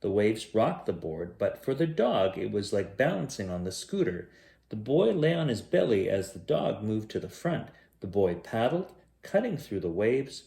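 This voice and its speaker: A man reading a children's picture book aloud as a bedtime storyteller, in a measured, expressive way. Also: fairly low pitch, creaky. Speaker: bedtime story reader, host.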